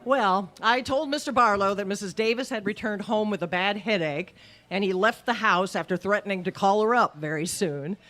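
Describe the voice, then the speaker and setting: A woman playing a wry, quick-witted schoolteacher in an old-time radio comedy, with dry, deadpan delivery. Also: medium pitch, soft background music, natural voice. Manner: dry, sardonic, deadpan wisecracks.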